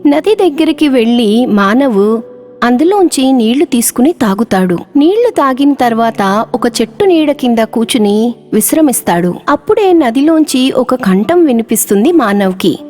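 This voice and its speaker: A woman narrating a children's cartoon story in a measured storytelling manner. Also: on the high side, sing-song, darkish tone, faint music behind.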